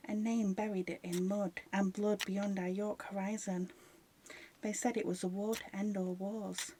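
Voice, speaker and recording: A woman playing a grieving, haunted wartime cook, giving a sombre, deliberate, poetic diary monologue in a radio play. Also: medium pitch, mellow, slight background noise, rough recording. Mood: mournful.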